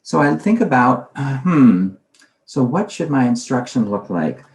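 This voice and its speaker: A man's voice: medium pitch, very animated, measured pace. A man giving a recorded conference talk on language teaching, conversational, often asking himself questions aloud. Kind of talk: recorded presentation lecture. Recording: roomy echo.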